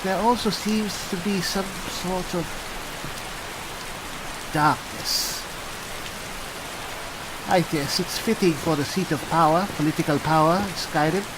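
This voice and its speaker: A man playing a chatty, pompous adventuring cleric in an improvised fantasy game roleplay, halting and musing theatrically with dry humour. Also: sits high, background noise. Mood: solemn, musing. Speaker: gamer playing a character.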